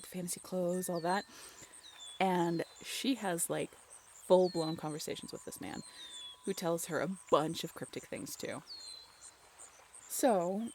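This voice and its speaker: A woman playing an excitable young woman in an audio roleplay, telling a story casually with conversational asides. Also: on the low side, a little hiss or hum, lightly acted. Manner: informal, giggly, conversational asides with rhetorical questions.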